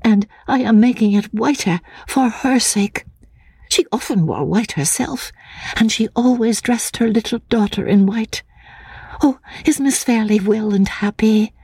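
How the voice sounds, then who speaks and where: A woman voicing a fragile, anxious, childlike young woman in a dramatic audiobook, soft and husky, hesitant and pleading. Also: on the high side, measured pace, dry recording, voice pushed up. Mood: tender. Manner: pleading, hesitant, naive, with repeated words.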